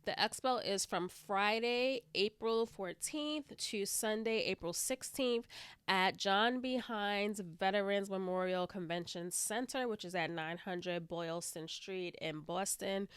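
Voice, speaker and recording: A woman's voice: mid-range voice, fairly bright tone, clean tone, no room sound. A woman hosting her own podcast, talking in a chatty, rambling way with a slightly stuffy, congested voice. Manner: chatty, rambling, lots of "like" and "you know". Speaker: podcast host. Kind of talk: casual solo podcast monologue.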